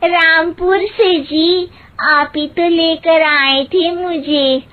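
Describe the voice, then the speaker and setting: A woman's voice: very high-pitched, steady tone, extremely slow, full character voice. A woman voicing a naive, cheerful young village daughter-in-law in a cartoon, innocent and earnest. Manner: innocent, literal-minded, earnest. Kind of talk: Hindi moral-story cartoon dialogue. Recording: muffled, narrow phone-like sound.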